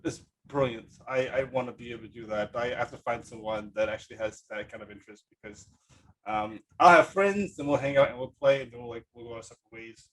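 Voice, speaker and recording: A man hosts a podcast in a relaxed, conversational style. His delivery is enthusiastic and rambling, doubling back on words and repeating them to make a point. His voice sits at a medium pitch. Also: quick pace, slight background noise, poor audio. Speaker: podcast host. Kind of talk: casual interview chat.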